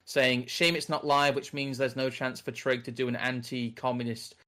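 A podcast co-host from the North of England who speaks in a neutral English accent. His voice sits at a middling pitch, and he talks in a loose, rambling way, often restarting his sentences. It's a relaxed discussion rather than a formal broadcast. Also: normal speed. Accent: General English. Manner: rambling, self-correcting. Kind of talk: casual political discussion.

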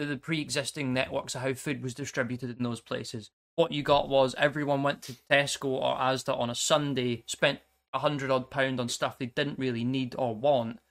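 A man's voice: mid-range voice, a bit bright. A man who grew up in Scotland, chatting casually as co-host and rambling with self-corrections. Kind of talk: casual podcast chat.

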